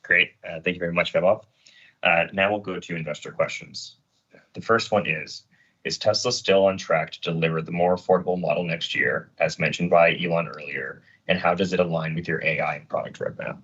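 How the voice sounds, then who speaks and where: A man with a very low, husky voice moderates a conference call. He reads out questions from investors, and the sound is a bit dull and band-limited. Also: steady tone, a little fast, fairly bright tone.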